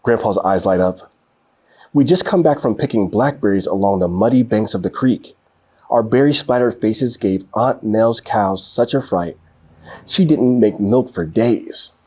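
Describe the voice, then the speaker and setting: A man reading a children's picture book aloud in an expressive storytelling style. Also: lowish voice, measured pace, husky.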